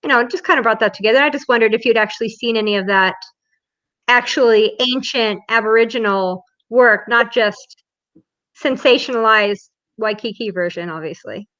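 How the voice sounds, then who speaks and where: A woman's voice: on the high side, moderate pace, fairly bright tone. A woman from Hawaii interviewing conversationally, earnestly explaining and offering long personal asides. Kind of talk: casual, reflective conversational interviewing. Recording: slightly dull, lo-fi sound.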